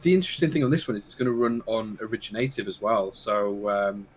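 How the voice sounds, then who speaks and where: A man co-hosting a casual keyboard podcast, losing his voice and talking in halting bits full of fillers. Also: on the low side, slight background noise. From United Kingdom. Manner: halting, many fillers and restarts. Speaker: podcast co-host. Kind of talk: casual chat about keyboards.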